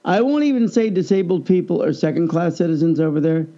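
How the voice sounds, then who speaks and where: Animated Midwestern man, higher mellow voice. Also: fast, muffled recording. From Kansas, United States. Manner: animated storyteller, laughs often, keeps asking "right?". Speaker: podcast co-host. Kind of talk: casual chat.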